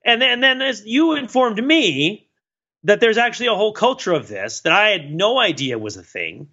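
A man with a bright, forceful voice chats casually as a podcast host. His pitch moves a great deal as he rambles with wry self-deprecation. The recording is slightly dull. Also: very animated, normal speed. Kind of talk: casual chat.

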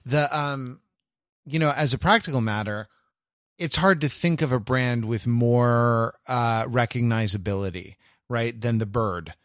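Male podcast co-host, mid-pitched, halting and wry in casual discussion, recorded very dry. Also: a bit bright, no echo. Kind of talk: casual pop-culture discussion.

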